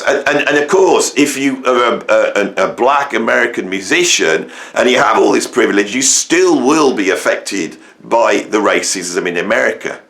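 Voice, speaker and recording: Middle-aged English musician-host, gravelly and croaky, mid-pitched, emphatic rambling opinion piece. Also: male voice, fairly bright tone. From England, near Birmingham. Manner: rambling, emphatic, many fillers and restarts. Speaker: musician and educator, channel host. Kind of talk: opinionated monologue to camera.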